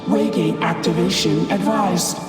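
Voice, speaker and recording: Game construct: low, impassive robotic caretaker, formal clipped phrasing, echoing over music. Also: female voice, measured pace. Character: ancient female titan construct, formal, impassive machine caretaker. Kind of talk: video game cinematic voice acting. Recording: echoey long reverb, music behind.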